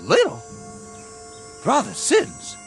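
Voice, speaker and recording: Lively, folksy young country man. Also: very high voice, slow pace, creaky, faint music behind, exaggerated voice. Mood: puzzled, testy. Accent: rural American. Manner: folksy, incredulous. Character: plain-spoken young country man, indignant, risen from his coffin. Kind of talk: radio drama acting.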